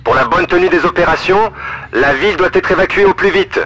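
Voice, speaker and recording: A man's voice: sits high, brisk, bright, forceful tone. A man playing a formal evacuation announcer, delivering an official public notice in an audio drama.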